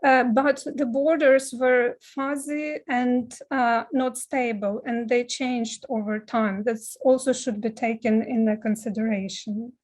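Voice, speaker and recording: A woman's voice: on the high side, fairly even intonation, a little mellow. Hesitant woman giving a seminar talk. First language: Ukrainian. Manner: halting, many filler sounds and restarts. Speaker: seminar speaker, film scholar.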